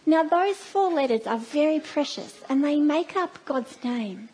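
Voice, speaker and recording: A woman's voice: sits high, expressive, a little slow, slight background noise. A middle-aged Australian woman giving a sermon in a church, telling stories with playful asides. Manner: warm storytelling, playful asides. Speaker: preacher.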